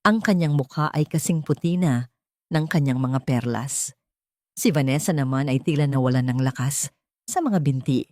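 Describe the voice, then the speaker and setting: A woman's voice: extremely low pitch, moderate pace, a little mellow, no room sound. A woman reading a scripted story as a dramatic narrator.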